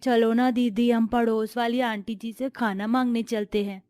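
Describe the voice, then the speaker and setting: A woman's voice: a little high, little pitch movement, normal speed, darkish tone, no echo, soft background music, natural voice. A woman voicing a hungry orphaned small child in a cartoon, plaintive and tearful. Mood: hungry, pleading.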